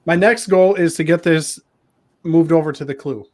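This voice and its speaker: A man's voice: a little high, normal speed, a little mellow. A man casually demonstrating a project as a guest, friendly and plain-spoken. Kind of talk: casual show-and-tell demo.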